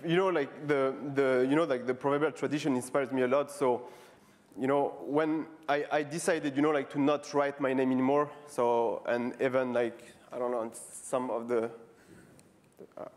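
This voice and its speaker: A man's voice: mid-range voice, fairly even intonation. Man in his thirties with a French accent. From France. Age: 30s. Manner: halting, many fillers and restarts; casual, friendly, repeating words. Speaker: street artist giving a talk. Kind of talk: conference talk. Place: stage before a live audience.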